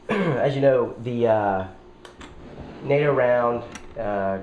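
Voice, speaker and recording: A man with a head cold and a nasal voice, talking casually as a video host while unboxing, halting often with fillers and clearing his throat. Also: medium pitch, a little mellow, highs cut off, slight background noise.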